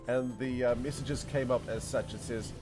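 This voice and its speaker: A man's voice: mid-range voice, fairly even intonation, moderate pace. Chatty man streaming over game music. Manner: chatty, rambling, laughs often, talks to chat. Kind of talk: casual gameplay commentary.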